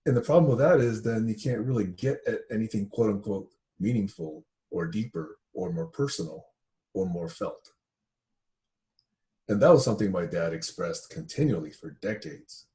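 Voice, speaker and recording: A man from Taiwan sharing thoughtful stories in a relaxed group conversation, with pauses and fillers as he goes. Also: on the low side. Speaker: discussion participant.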